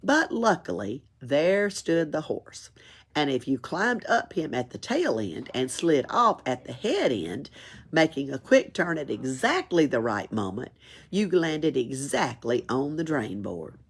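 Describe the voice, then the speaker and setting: A woman's voice: deep voice, a little slow, husky. A woman reads a children's story aloud expressively, as a library storyteller. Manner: expressive, chuckles and adds asides. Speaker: library read-aloud reader.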